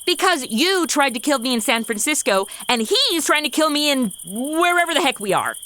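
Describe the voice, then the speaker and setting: A woman's voice: sits high, big pitch swings, normal speed, sharp tone, a little hiss or hum, full character voice. An actress playing a sassy, exasperated modern young woman with a blunt, snarky manner in a comedy audio drama.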